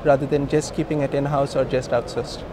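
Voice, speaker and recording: A man's voice: mid-range voice, level tone, a little fast. A man in his thirties with an Indian accent, speaking informally and emphatically as an entrepreneur being interviewed. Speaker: company co-founder being interviewed. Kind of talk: conversational business interview. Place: busy hosting industry event. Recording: noisy event location, traffic-like ambient noise.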